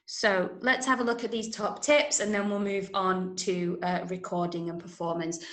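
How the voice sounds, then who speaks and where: A woman's voice: mid-range voice, little pitch movement, normal speed, a bit bright. A woman from Manchester with an English accent, teaching a script-writing workshop and often checking that listeners follow. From Manchester, England. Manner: explanatory, checks understanding with questions. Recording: roomy, echoing room sound.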